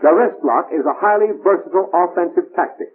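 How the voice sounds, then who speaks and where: A man's voice: high voice, fast, slightly rough. A man narrating a 1940s military training film in scripted, clipped, imperative instructions. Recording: tinny band-limited 1940s film soundtrack.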